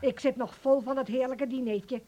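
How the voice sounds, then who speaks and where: Chatty, high-voiced woman guest. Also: fairly even intonation, moderate pace, warm tone, dull audio. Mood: cheerful. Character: chatty, playful, fussy female family guest. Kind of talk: Dutch radio play acting.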